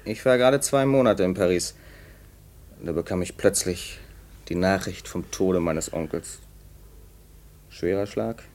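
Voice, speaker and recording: An actor playing an uneasy, hot-tempered young man with secrets, probing and sometimes halting, in a 1950s German radio play. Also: deep voice, a little mellow. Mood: defensive.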